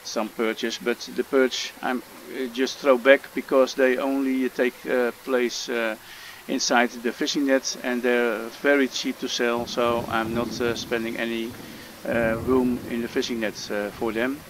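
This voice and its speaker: A man's voice: mid-range voice, flat delivery, unhurried, hardly any pauses, slight background noise, poor audio. A man from the Netherlands with a Dutch accent, talking through a fishing game tutorial with many hesitations and fillers. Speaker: gamer making a tutorial. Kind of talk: casual gameplay tutorial commentary.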